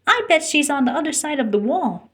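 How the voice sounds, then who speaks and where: A woman's voice: high voice, expressive, a little fast, a bit bright, voice pushed up. A woman voicing an eager, reassuring little fish friend in a storybook read-aloud.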